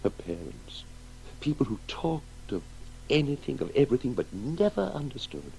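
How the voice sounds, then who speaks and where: An actor playing a tormented, guilt-ridden father in a 1980s radio drama, halting and repeating himself as he broods. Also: medium pitch, huge pitch swings, vocal fry, a little mellow. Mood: haunted, brooding. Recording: noisy old radio broadcast with hum.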